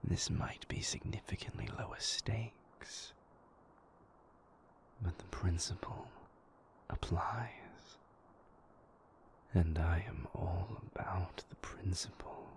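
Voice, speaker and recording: Man voicing a deep, whispering, moody vampire. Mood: dry, guarded. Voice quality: breathy, hushed, gravelly low voice. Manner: slow, deliberate, formal wording, dryly wry. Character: moody, brooding male vampire, weary and sardonic. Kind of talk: intimate whispered audio roleplay.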